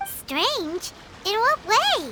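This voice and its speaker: A woman voicing a small, childlike, excitable fairy companion in a video game, talking in an exclamatory way. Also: extremely high pitch, very slow, heavy background noise, full character voice. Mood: curious, questioning. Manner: refers to self in third person, exclamatory.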